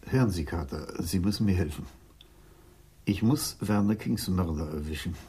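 An actor playing a probing, plain-spoken senior police commissioner in a German radio drama, direct and questioning in manner. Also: male voice, sits low, expressive, normal speed, mellow, a little hiss or hum. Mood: urgent, frank.

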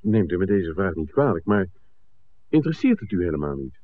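Earnest, low-voiced investigator, tinny recording. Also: male voice, sing-song, a little fast, a little hiss or hum. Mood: polite, insistent. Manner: polite, articulate, insistent. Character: earnest, persistent male visitor investigating village secrets. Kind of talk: 1960s Dutch radio drama acting. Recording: thin, phone-like old radio recording.

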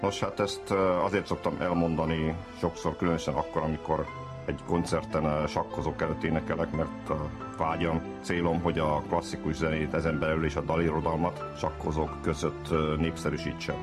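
Male guest singer, extremely low voice, reflective introduction, music behind. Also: level tone. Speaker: singer and chess player guest. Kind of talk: reflective spoken introduction to a song.

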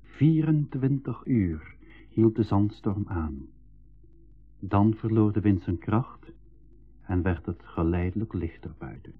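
An actor playing an earnest first-person narrator of an adventure in a 1980s school radio play, with measured, literary phrasing. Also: male voice, fairly low pitch, animated, husky, soft background music, full character voice. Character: earnest male narrator recalling his own expedition adventure. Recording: tinny, band-limited old radio recording.

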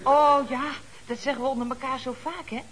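Woman playing a pert, high-voiced office colleague. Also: steady tone, brisk, slight background noise, close to an everyday voice. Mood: earnest. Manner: pert, corrective, unabashed. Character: forthright, socially minded female office colleague. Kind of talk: radio comedy acting.

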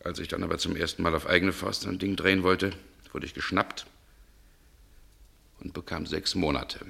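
A sardonic man with a shady past in a German radio drama. His voice is husky yet bright and forceful. He speaks with dry, nonchalant irony. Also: low-pitched, fairly even intonation, normal speed, full character voice. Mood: frank, hardened. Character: suave sardonic actor with a burglar past.

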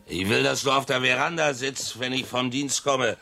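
An actor playing a drunken, domineering colonial officer, a bullying and volatile husband in a German radio play. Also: male voice, medium pitch, moderate pace, gravelly, bright, forceful tone, soft background music.

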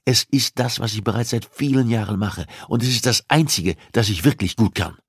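Man voicing a cold, insistent investigator, pitched up. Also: expressive, breathy edge, no room sound, clean studio sound. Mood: grave, insistent. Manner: commanding, deliberate, argumentative. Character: cold, insistent male criminal profiler and police investigator. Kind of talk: audiobook dialogue acting.

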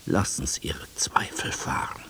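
An actor playing a gentle but insistent confidant in a radio play, speaking in a hushed, intimate, persuasive way over hiss. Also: male voice, unhurried, whispered. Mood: tender urging. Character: gentle, insistent male confidant coaxing a troubled soul. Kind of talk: German radio play acting.

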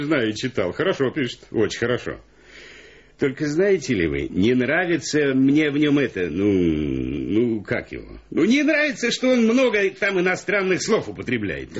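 Husky, blustering bass player. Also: male voice, huge pitch swings, moderate pace, dull audio, exaggerated voice. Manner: blunt, chummy, repeats himself emphatically. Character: coarse, pushy, unwashed double bass player, blunt and familiar. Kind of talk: radio play acting.

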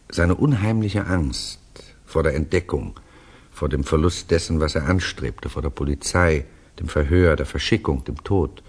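An actor playing a reflective storyteller in a 1960s German radio play, narrating conversationally in a measured, wry, husky voice. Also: male voice, deep voice, a little mellow, exaggerated voice. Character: reflective male storyteller recounting to a friend.

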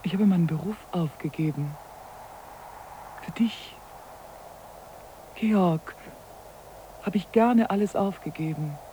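An actress playing an unhappy, devoted and bitter wife in a 1980s German radio drama, speaking in a deliberate, reflective, literary way. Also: female voice, fairly low pitch, warm tone. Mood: yearning, wounded. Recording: noisy cassette copy of radio play.

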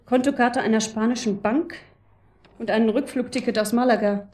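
An actress playing a helpful, matter-of-fact clinic clerk in a German radio drama. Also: female voice, a little high, fairly even intonation, moderate pace, a little mellow, a little hiss or hum, natural voice.

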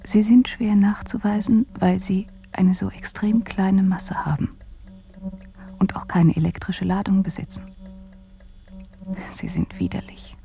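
Dreamy bedridden woman, radio play, soft mellow tone, breathy, slow, halting, intimate. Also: mid-range voice, steady tone, soft background music. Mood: intense, intimate. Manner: hushed, breathy, halting delivery. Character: bedridden dreamy woman describing visions, whispering tenderly to Phil. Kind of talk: radio drama acting.

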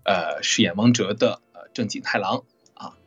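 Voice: sits low, moderate pace, husky, highs cut off, faint music behind. A voice actor hosting a casual live chat, chatty and often repeating phrases. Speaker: host and voice actor.

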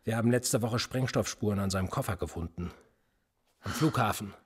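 A man's voice: fairly low pitch, fairly quick, slightly rough. An actor playing a cool, cynical male police interrogator in a radio drama, smug and needling. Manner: smug, needling, lively intonation.

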